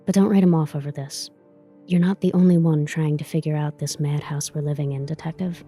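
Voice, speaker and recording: A woman's voice: deep voice, steady tone, fairly quick, dark tone, faint music behind, natural voice. A woman playing a wry, world-weary character with a hidden kind streak in an audio drama, offhand and sarcastic. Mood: wry, reassuring. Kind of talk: dark superhero audio drama acting.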